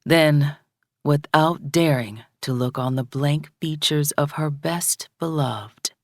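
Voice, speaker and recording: A woman reading a story aloud as an audiobook narrator, in a solemn, measured and dramatic way. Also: low voice, dry recording, studio quality.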